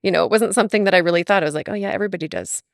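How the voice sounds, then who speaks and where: A woman's voice: medium pitch, rapid-fire, creaky, no echo, studio quality. A woman from Alberta, Canada, chatting as a guest in an interview, laughing often and talking with lots of fillers. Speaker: podcast guest. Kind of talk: casual interview chat.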